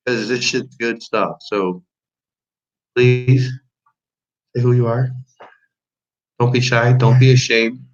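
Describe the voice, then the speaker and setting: A man's voice: mid-range voice, level tone, takes it slowly, long pauses, loud music behind the voice. A man co-hosting a livestream test, chatting casually and easygoing. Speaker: livestream co-host. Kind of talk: casual chat. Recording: lo-fi livestream audio.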